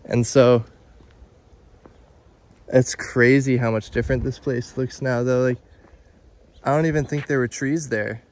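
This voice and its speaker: A young man in his twenties vlogging by phone at arm's length outdoors, talking in an excited, chatty way full of "dude" and "like". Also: medium pitch, unhurried, pauses often. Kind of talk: casual vlog narration. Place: outdoors at an abandoned waterpark. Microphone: phone held at arm's length.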